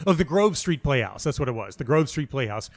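A middle-aged man talking casually and reflectively as an interview guest, halting and restarting often, with a self-deprecating manner. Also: medium pitch, sing-song. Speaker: playwright and audio dramatist being interviewed.

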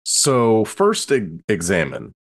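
Creaky, animated man playing tabletop games. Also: lowish voice, takes it slowly, no room sound, studio quality. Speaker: tabletop game player. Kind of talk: casual game chat.

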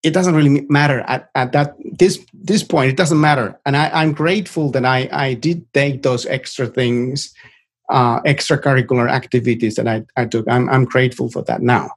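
A middle-aged man from Finland with a Finnish accent, answering informally as an interview guest in a halting, reflective way that often repeats words. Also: medium pitch, fairly even intonation. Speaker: interview guest, experienced tech professional.

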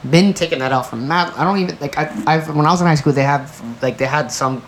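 A man's voice: a little high, fast talker. A man co-hosting a casual podcast, rambling emphatically and swearing freely as he argues his opinions with friends. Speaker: podcast co-host. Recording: clearly noisy background.

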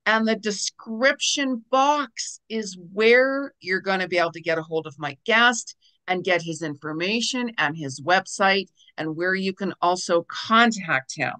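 Bright, enthusiastic woman podcast host. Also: mid-range voice, unhurried. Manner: enthusiastic, promotional. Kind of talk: podcast interview intro.

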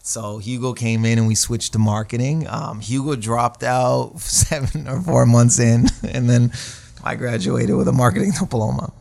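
A man's voice: medium pitch, steady tone, normal speed, creaky, a little mellow. A man in his late thirties from Canada, a stand-up comedian telling stories in a casual interview, rambling and self-deprecating with slangy asides. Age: 30s.

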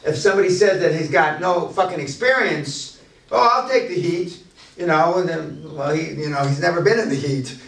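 A man's voice: a little high, normal speed, vocal fry, a bit bright, slight background noise. A man leading a business seminar, talking bluntly and boastfully with plenty of profanity and restarts. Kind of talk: unscripted seminar talk to a room. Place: large echoey room at a seminar venue. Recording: echoey room, distant mic.

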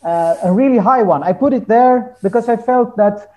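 High-pitched, mellow-voiced man chatting. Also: normal speed. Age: 30s. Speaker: guest musician, hurdy-gurdy player. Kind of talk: casual interview storytelling.

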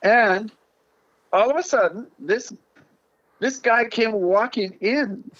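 A man's voice: sits high, slow, no echo, muffled recording. An older man, a missions pastor, chatting as a guest in a casual interview, laughing and joking about his own odd way of speaking. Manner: warm laughing, self-mocking, with a mixed personal way of speaking.

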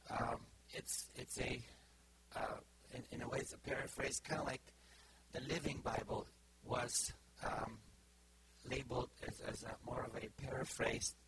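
A middle-aged Bulgarian man speaks to his church in a loose, informal sermon, his speech full of stops, fillers and restarts. He speaks English with a Bulgarian accent. The live recording carries a clear layer of background noise. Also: mid-range voice, level tone, a bit bright, rough recording. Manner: halting, many fillers and restarts. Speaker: preacher.

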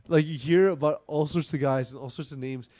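A man's voice: a little high, steady tone, brisk, warm tone, dry recording, studio quality. A young man co-hosting a sports podcast, rambling with lots of fillers and jokes. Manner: rambling, filler-heavy, joking. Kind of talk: casual sports chat.

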